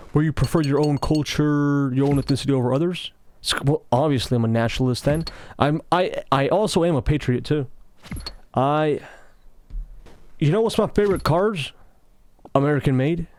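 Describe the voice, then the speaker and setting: A man's voice: fairly high pitch, moderate pace, a little mellow. Young guy w/ a slight russian accent rambling over gameplay, keeps sidetracking, bit of hiss. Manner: talkative, meandering, self-interrupting. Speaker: gaming commentary creator. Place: office.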